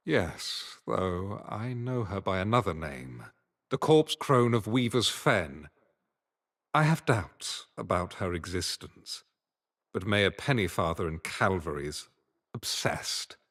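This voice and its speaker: An actor playing a solemn, resolute quest-giver in a fantasy video game, speaking in a measured, formal way. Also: male voice, low voice, animated, fairly bright tone, full character voice. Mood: solemn, purposeful. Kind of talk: scripted video game dialogue.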